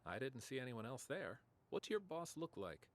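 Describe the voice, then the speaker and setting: A man's voice: medium pitch, big pitch swings, moderate pace, lightly acted. A man playing a corrupt, self-assured senior police officer in an audio drama. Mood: controlled, assured.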